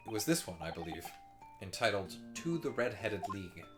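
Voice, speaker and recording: A woman's voice: extremely low pitch, big pitch swings, music in the background. A woman playing a stern, formal questioner in a comic court scene. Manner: formal, measured, official.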